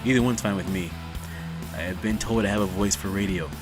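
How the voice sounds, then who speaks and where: A man's voice: on the low side, a little fast, music in the background. A man hosting a reaction video, talking in a chatty, rambling, self-deprecating way. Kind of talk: casual rambling commentary.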